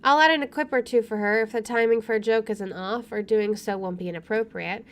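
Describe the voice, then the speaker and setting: A woman streamer reading a visual novel's narration aloud in an expressive, storytelling style. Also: a little high, brisk, soft background music. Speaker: let's play streamer. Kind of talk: expressive read-aloud narration of game text. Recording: faint background noise.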